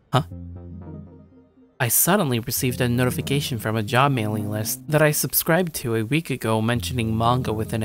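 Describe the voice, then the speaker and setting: A man's voice: mid-range voice, lightly acted. A man playing a jaded, self-conscious young shut-in, telling his story in the first person with weary, sardonic asides. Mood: weary, gloomy. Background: background music.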